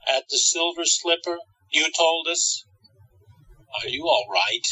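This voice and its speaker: A man's voice: fairly high pitch, animated, slow pace, creaky, pushed and bright, slightly rough, heavily acted, poor audio. A man playing an earnest, worried, loyal companion in a radio play, anxious and caring. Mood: concerned.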